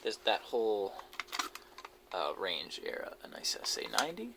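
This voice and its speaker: A man giving casual, unscripted show-and-tell commentary in a low-key, halting way, as if musing to himself. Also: wildly swooping pitch, gravelly. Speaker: hobbyist video creator showing a cassette collection. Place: basement, indoors. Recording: noisy amateur audio with clicks and handling noise.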